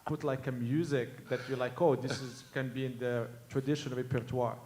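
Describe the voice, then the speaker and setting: A man's voice: medium pitch, fairly even intonation, darkish tone. Earnest thirty-something man musician. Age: 30s. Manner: earnest, halting, quotes imagined voices. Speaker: Gnawa musician, panel guest. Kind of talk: casual panel conversation.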